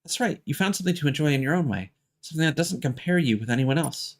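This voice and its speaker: A man's voice: fairly high pitch, lively intonation, quick pace. A man voicing a supportive, sincere teenage girl heroine, reading her game dialogue in a reassuring way. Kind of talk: reading video game dialogue aloud in character.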